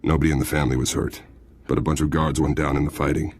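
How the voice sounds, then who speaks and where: An actor playing a deferential, chatty military prison guard in a video game, with a folksy, informal manner. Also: male voice, a bass voice, little pitch movement, quick pace, darkish tone. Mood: earnest, obliging.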